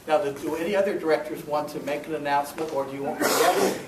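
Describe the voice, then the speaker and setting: A man's voice: fairly high pitch, fast talker. A man hosting a club meeting with informal announcements and joking banter. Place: meeting room with chattering group. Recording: echoey noisy meeting room, far mic.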